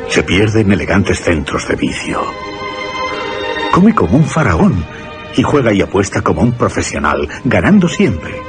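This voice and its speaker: Deep-voiced, swooping, earnest gentleman. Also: big pitch swings, a little slow, over music. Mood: troubled, indignant. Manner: dramatic, emphatic, formal. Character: earnest, troubled young gentleman, righteous and indignant. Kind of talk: Spanish radio horror drama acting.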